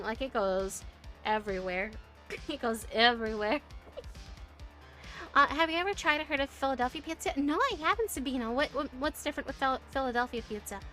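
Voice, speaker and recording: A woman's voice: a little high, pushed and bright. A woman from the United States streaming and chatting casually with viewers, talking with lots of fillers and little laughs. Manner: chatty, giggly, many fillers and restarts. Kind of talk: casual chat reading viewer messages.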